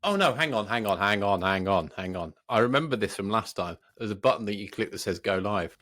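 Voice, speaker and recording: A man's voice: fairly low pitch, expressive, brisk, a bit bright. A British man co-hosting a podcast with unscripted, casual banter in a jokey, self-deprecating way. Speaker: podcast co-host.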